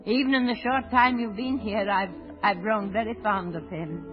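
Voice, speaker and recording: An elderly mother, played by a woman, devoted and anxious, pleads earnestly in vintage radio drama style. The recording is muffled, with music clearly behind her. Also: medium pitch, steady tone, natural voice. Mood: earnest, emotional. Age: older. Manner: earnest, halting, emotional pleading. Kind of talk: old-time radio drama acting.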